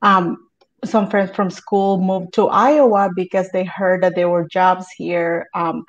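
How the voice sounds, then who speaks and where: A middle-aged woman from El Salvador, telling her story as a guest on a video call, steady with many ums. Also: lowish voice, slow pace. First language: Spanish. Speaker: guest, community advocate. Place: home room with bookshelves. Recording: dull lo-fi video call audio.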